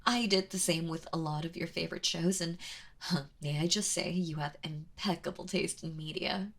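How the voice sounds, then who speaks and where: A woman playing a kind but obsessive, lovesick yandere captor in an intimate ASMR audio roleplay, speaking softly and hesitantly in a pleading, breathy way. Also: on the low side, fairly even intonation, normal speed. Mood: eager, nervous. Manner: hesitant, pleading, soft-spoken with breathy drops into whispers.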